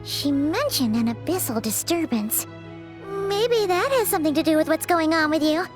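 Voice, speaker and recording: A woman voicing an excitable, chatty little fairy companion in a game who refers to herself in the third person. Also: very high voice, moderate pace. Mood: thoughtful. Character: tiny floating female fairy companion, chirpy. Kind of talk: game dialogue. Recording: game audio with music behind.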